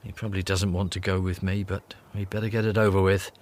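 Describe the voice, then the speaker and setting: An actor with a Northern Irish accent playing Judas, a teasing, sardonic disciple who cares most about money, in a radio drama. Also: male voice, deep voice, little pitch movement, quick pace, darkish tone, lightly acted. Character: Judas, scheming money-minded male disciple.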